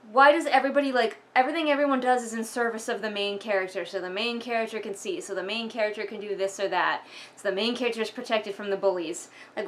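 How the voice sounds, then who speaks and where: A woman's voice: a little high, a bit bright. A woman giving casual, sarcastic book commentary in an emphatic, exasperated manner, sometimes reading passages aloud. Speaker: vlogger doing a critical book read-along.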